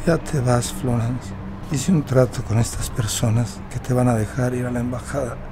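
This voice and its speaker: A man's voice: mid-range voice, steady tone, moderate pace, noisy background, close to an everyday voice. An actor playing a subdued, wronged male prisoner, narrating his ordeal in the first person for an audio drama. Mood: resigned, tender. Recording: loud music bed with vehicle sounds.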